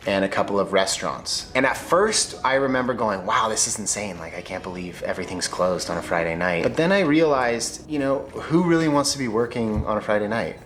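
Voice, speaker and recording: A young American man with a very deep voice walks through his vlog in an even, scripted way. His delivery is clear and practiced. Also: fairly quick, hardly any pauses, a little hiss or hum. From United States. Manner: clear, steady presenter delivery. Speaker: YouTuber.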